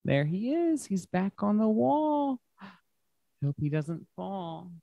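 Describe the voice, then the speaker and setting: A man reads a children's story aloud at an unhurried pace. His phrasing is simple and emphatic, made for young listeners, and the sound is dry with little room echo. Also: high-pitched, big pitch swings, gravelly, lo-fi sound. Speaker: guest reader at children's story time. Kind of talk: expressive picture-book read-aloud to children. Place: large glass-walled library room.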